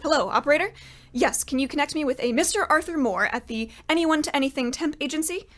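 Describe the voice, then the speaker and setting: A woman's voice: sits high, quick pace, noisy background, natural voice. A woman acting in an old-time radio comedy as a polite, exasperated widow, earnest and straight-faced as she seeks help.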